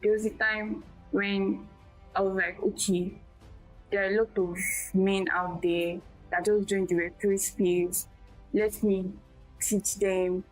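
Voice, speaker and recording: A young woman speaks in a small, quiet voice as a guest tutor in a casual interview. She talks haltingly, often restarting her sentences. Faint music plays underneath. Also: medium pitch, flat delivery, poor audio. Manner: soft-spoken, halting with restarts. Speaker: guest, tech skills tutor.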